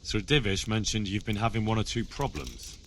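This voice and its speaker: A man's voice: fairly low pitch, lively intonation, moderate pace, a bit bright, a little hiss or hum. An actor playing an earnest, inquisitive young medieval man in video game dialogue, polite and plain-spoken. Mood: polite, probing.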